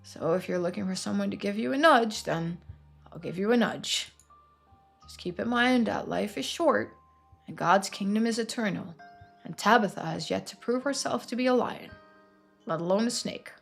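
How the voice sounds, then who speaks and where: A gentle small-town pastor played by a woman in a game speaks at a medium pitch over music. He is devout and earnest, preaching with slight hesitation. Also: moderate pace, creaky. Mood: gently encouraging.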